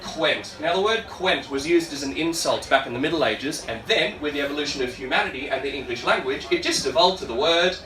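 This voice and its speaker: A man's voice: on the high side, expressive, fairly quick, few pauses, pushed and bright. A man from Australia with an Australian accent doing stand-up comedy to an audience, informal and sweary, chatting with the crowd in asides. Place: live comedy venue with an audience. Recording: echoey, noisy room, distant microphone.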